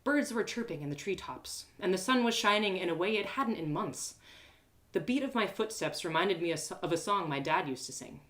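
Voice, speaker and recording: An earnest young woman adventurer, acted out by a female storyteller recounts her own journey in a fantasy storybook. The voice is bright and clear-edged, with gentle, wistful expression. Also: on the low side, animated, normal speed. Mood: hopeful, wistful. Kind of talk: first-person fantasy storybook narration.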